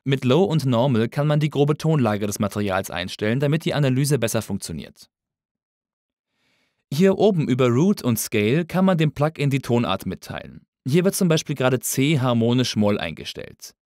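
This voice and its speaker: A man narrating a scripted tutorial as an instructor, in a measured, explanatory way. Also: medium pitch, lively intonation, a little mellow, no room sound, clean studio sound.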